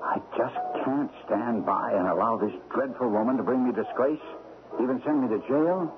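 A man's voice: medium pitch, normal speed, noisy background, close to an everyday voice. An actor playing a desperate, ruined investment man in a radio drama, pleading in a theatrical way. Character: desperate ruined male investment expert gambling away clients' money. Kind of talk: 1970s radio drama acting. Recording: muffled old radio recording, loud music.

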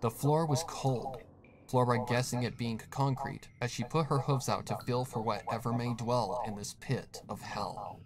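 A man's voice: medium pitch, steady tone, moderate pace, over a noisy crowd. A man reading a scripted horror story as the narrator. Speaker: fan fiction narrator.

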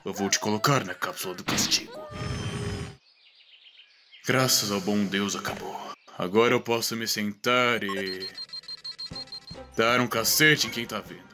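A man's voice: fairly low pitch, a little slow, pauses often, over music, lightly acted. A man with a Brazilian accent playing an arrogant, short-tempered alien villain in a comedic anime parody dub, sarcastic and blustering. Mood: irritated, smug. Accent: Brazilian Portuguese.

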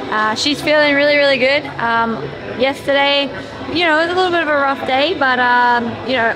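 Woman coach, 30s, hoarse and chatty, crowd roar behind. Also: sits high. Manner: chatty, lots of fillers. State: raspy, strained voice. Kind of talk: casual behind-the-scenes update. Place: large indoor competition arena. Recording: crowd noise in an arena.

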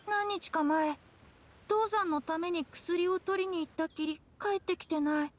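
A woman's voice: extremely high pitch, a little slow. A woman voicing an earnest, worried young boy in a Japanese anime game. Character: earnest young boy worried about his missing father.

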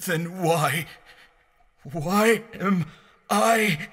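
A man playing a tormented, bewildered soul trapped in a dream realm, narrating an audio drama in a halting, brooding, often breathy way. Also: high-pitched, fairly bright tone, heavily acted. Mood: troubled, awed.

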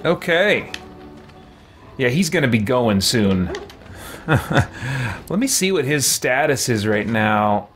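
A man's voice: medium pitch, big pitch swings, slow, pauses often, a bit bright, faint music behind. A middle-aged man giving casual let's play commentary as a gaming streamer, indoors. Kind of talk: casual let's play commentary, reading dialogue choices aloud. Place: small indoor room with shelves.

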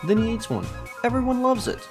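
Man as lively, excitable monster fanboy. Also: very high-pitched, brisk, music in the background, exaggerated voice. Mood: eager, admiring. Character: excitable monster fan of a TV star.

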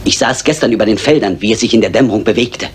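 A man acting a solemnly formal, ominous butler in a radio crime drama. His voice is low and portentous, with faint noise behind it. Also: racing, natural voice.

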